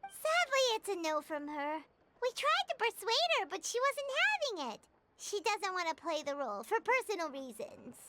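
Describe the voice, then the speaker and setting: A woman voicing a small, childlike, excitable and blunt fairy companion in a video game. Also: extremely high pitch, moderate pace, heavy background noise. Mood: glum. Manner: refers to herself in third person.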